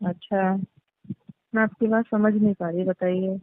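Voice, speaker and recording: On a thin, phone-quality line, a placating female tenant played by a woman speaks at medium pitch. She is coy and evasive, repeating herself in a phone-call drama. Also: flat delivery, measured pace, natural voice. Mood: questioning. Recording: echoey, tinny narrowband phone line.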